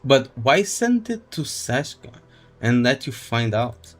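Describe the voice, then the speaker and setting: A man's voice: mid-range voice, sing-song, slow. A man chatting casually on a game livestream, giving opinions and repeating phrases as he explains his points. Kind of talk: casual livestream chat and commentary. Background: faint music.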